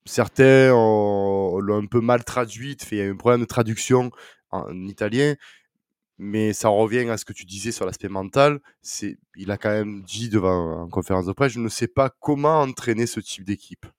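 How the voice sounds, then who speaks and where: A man's voice: on the low side, moderate pace, clean tone, no room sound, clean studio sound. A man hosting a casual football podcast, talking in a hesitant way with many fillers and restarts. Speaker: podcast host.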